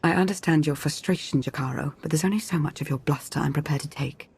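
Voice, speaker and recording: An actress playing a composed, stern woman strategist in a video game, speaking in a measured, formal, controlled way. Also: sits low, a little mellow, slightly rough, heavily acted. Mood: controlled, firm.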